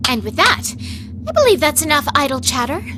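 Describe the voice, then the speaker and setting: A woman's voice: sits high, sing-song, brisk, music in the background. A woman playing a proud, prickly young female knight in a video game, with haughty, emphatic and formal diction. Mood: curt. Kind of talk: dramatic video game voice acting.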